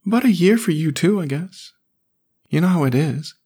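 A man's voice: very animated, unhurried, gravelly, slightly rough, heavily acted. A man doing a sulky lonely little boy. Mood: wistful. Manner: high childlike voice, pleading and sulky. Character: young boy, sullen, lonely and defensive. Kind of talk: audiobook character voice.

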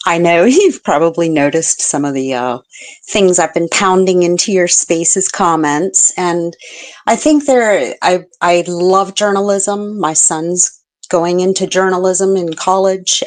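Slow, earnest middle-aged woman caller. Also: on the low side. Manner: earnest, pleading, halting. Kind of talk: live call-in comment.

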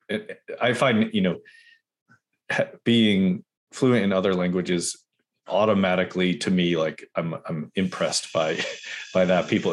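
A man with a very deep voice hosts a casual interview. He speaks haltingly and often repeats his words as he works toward a question. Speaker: podcast host.

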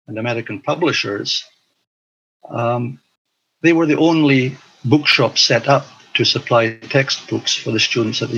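An older man reflecting on his past in an interview, speaking in a hesitant, halting way. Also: medium pitch, fairly bright tone, muffled recording, a little hiss or hum. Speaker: interview guest, former teacher abroad. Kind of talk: recollection in an interview.

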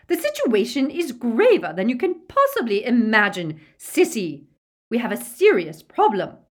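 A woman voicing an unhinged, imperious villainous witch in an audiobook, sharp and commanding. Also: on the high side, wildly swooping pitch, normal speed, pitched above the performer's own voice. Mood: furious, shrieking.

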